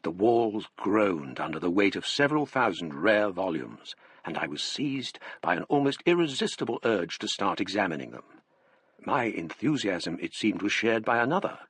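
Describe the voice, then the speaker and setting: Courteous, scholarly English man, lowish and expressive, measured literary delivery for radio drama. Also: slightly rough, no echo, full character voice. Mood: grave narration. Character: polite, bookish Cambridge man recounting a ghostly mystery. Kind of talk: radio drama narration and dialogue.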